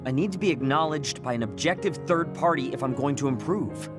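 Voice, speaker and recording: A man's voice: on the high side, brisk, music in the background, natural voice. An actor playing a proud, insecure young male soldier in a video game, irritable and defensive in manner. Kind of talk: English video game voice acting.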